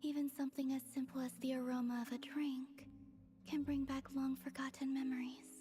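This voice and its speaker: A woman voicing a gentle, wistful young moon goddess in an anime game, soft and breathy, with hesitant, formal phrasing. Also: high-pitched, flat delivery, fairly quick, faint music behind, close to an everyday voice. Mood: serene, reflective.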